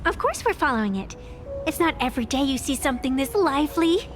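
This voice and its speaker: A woman's voice: high-pitched, big pitch swings, quick pace. An actress playing a cheeky, outspoken young woman in a video game, with sassy retorts. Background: game music.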